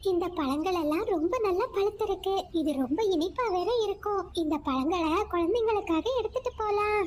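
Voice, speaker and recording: Tiny, very high-pitched mother sparrow. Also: brisk, darkish tone, slight background noise. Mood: caring. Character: gentle, caring mother sparrow and her chirpy young chicks. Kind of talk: cartoon moral-story voice acting.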